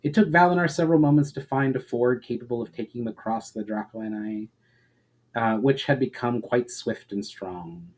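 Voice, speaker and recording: A man's voice: medium pitch, normal speed, darkish tone. Man giving a dramatic fantasy story reading. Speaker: author reading own story aloud. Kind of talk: dramatic audiobook-style narration of fantasy fiction.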